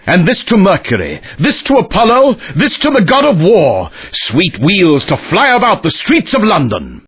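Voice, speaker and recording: Grandiose, wildly swooping Shakespearean orator. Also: male voice, a little high, slightly rough, dry recording, highs cut off, full character voice. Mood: musing, theatrical. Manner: pompous, declamatory, sweeping delivery. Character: theatrical Shakespearean male orator, grandiose, mock-tragic, florid. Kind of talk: mock-Elizabethan dramatic declamation for a video game.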